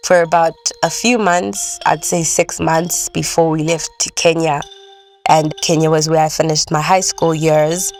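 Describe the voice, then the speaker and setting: A woman from Malawi calmly recounting her childhood in an interview. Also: low-pitched. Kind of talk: reflective personal account.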